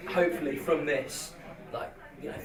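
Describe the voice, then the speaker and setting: A man's voice: fairly high pitch, steady tone, normal speed, crowd noise. A man in a band, chatting casually and restarting his sentences as he thinks aloud. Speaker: band member. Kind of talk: casual group chat. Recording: echoey, distant.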